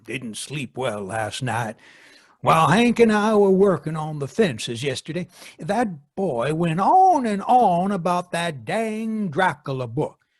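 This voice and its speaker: Man playing husky, excitable rural husband. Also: fairly high pitch, slow pace, no room sound, heavily acted. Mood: grumbling, uneasy. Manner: folksy, muttering, stammering. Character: excitable, grumbling farmhand husband Herman, rural and superstitious. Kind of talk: old-time radio play acting. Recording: dry live video-call recording.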